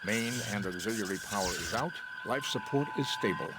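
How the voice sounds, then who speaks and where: An actor playing a logical alien science officer in a video game, speaking in a measured, formal, precise way. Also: male voice, lowish voice, expressive, heavy background noise, close to an everyday voice. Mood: grave, matter-of-fact. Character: logical, composed alien science officer, middle-aged man.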